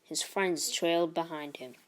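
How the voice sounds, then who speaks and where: A woman narrating a children's book aloud in an expressive read-aloud style. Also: deep voice, unhurried.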